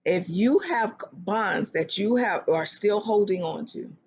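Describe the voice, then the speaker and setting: A speaker giving earnest relationship advice in a self-help talk, often restarting phrases and slowing down to stress a point. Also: medium pitch, dull audio, a little hiss or hum. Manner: earnest, repeats and restarts phrases, slows for emphasis.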